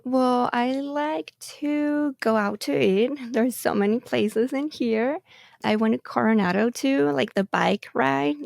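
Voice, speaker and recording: A woman's voice: a little high, slow, gravelly, darkish tone, no echo, studio quality. A young woman from Mexico with a Mexican accent, chatting cheerfully on a podcast and laughing often. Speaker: medical photographer. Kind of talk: casual podcast chat.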